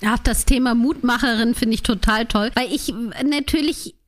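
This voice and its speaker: A woman's voice: on the high side, moderate pace. A woman hosting an interview, asking questions in a chatty, personal and engaged way. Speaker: host.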